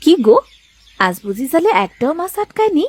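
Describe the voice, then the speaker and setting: A woman's voice: high-pitched, sing-song, normal speed, dry recording. A woman playing a caring, scolding village fisherman's wife in a cartoon, fussing and coaxing. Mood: concerned, coaxing. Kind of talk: Bengali cartoon moral-story dialogue.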